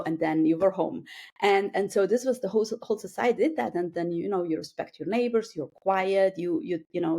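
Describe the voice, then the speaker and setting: A woman from Switzerland with a lowish, gravelly voice, speaking as a podcast guest. She talks hesitantly, with frequent fillers and restarts, and laughs easily. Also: mellow. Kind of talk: casual interview conversation.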